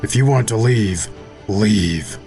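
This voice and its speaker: An actor playing a blunt, tough second-in-command soldier in an audio drama, stern and challenging, with a gravelly voice. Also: male voice, fairly low pitch, takes it slowly, warm tone, soft background music. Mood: scornful, stern.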